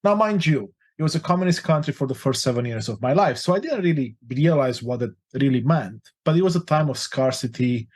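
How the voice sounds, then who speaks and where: A man's voice: medium pitch, expressive, brisk, hardly any pauses, a little mellow. A forty-year-old man from Romania, a life coach telling his life story in an interview, earnest and open. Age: 40s.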